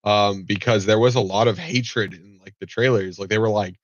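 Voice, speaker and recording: A man talks in a low voice, stuffed up and a bit nasal from allergies, tossing in ums, restarts and the odd curse as he reacts on camera. The sound is dry and close, with a dull, lo-fi home microphone quality. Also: fairly even intonation, normal speed, no echo. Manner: rambling with many ums and restarts, swears casually. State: nasal from allergies, slight cough. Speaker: commentary vlogger. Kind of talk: casual reaction commentary.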